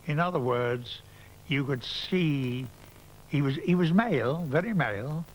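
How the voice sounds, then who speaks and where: A man's voice: fairly high pitch, lively intonation, measured pace, slight background noise, rough recording. A man fondly reminiscing about pantomime dames in an interview, emphatic in his praise. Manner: warm, fond, emphatic. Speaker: interviewee, theatre insider.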